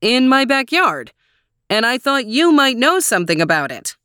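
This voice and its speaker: A woman voicing a friendly, patient man in a storybook who speaks loudly and emphatically to be heard. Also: on the high side, animated, normal speed, clear, resonant tone, dry recording, studio quality, pitched above the performer's own voice. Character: friendly, patient man shouting to a deaf neighbor. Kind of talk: storybook character dialogue.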